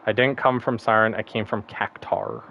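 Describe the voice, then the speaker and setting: A man's voice: lowish voice, moderate pace, creaky, muffled recording. A man streaming a game, chatting casually and rambling, laughing often and swearing now and then. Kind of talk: casual gaming stream chat.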